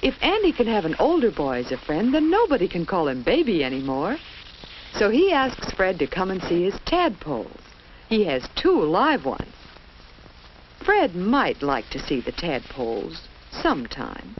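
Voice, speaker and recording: A woman's voice: on the low side, wildly swooping pitch, normal speed. A woman narrating a children's educational film in a scripted storytelling style, with simple instructive phrasing and questions put to young viewers. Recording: dull lo-fi old film soundtrack, faint hiss.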